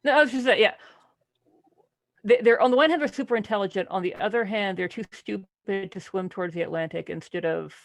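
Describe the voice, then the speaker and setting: A podcast panelist with a creaky voice and a close, dry sound, talking casually about a book. The delivery is animated and incredulous, circling back through questions and restarts. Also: medium pitch, brisk, no room sound.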